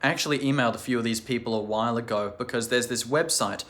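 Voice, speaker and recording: A man's voice: mid-range voice, fast, a bit bright. Chatty man with many fillers. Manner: enthusiastic and curious. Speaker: language channel host. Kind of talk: casual talk to camera.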